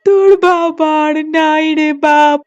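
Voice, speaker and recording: Woman acting a slow, high-voiced grieving mother. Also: fairly even intonation. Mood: sorrowful. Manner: sorrowful, drawn-out. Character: grieving, destitute young mother. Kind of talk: Bengali cartoon voice acting. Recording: roomy, dull lo-fi, music behind.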